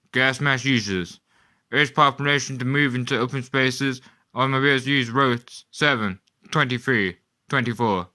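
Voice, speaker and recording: Radio play role: man as emergency radio operator, urgent, clipped, raised loud voice, repetitive calling. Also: mid-range voice, voice pushed up. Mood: urgent alarm. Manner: clipped, repeating call signs. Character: urgent male radio operator relaying emergency bulletins and call signs. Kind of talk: amateur radio play acting.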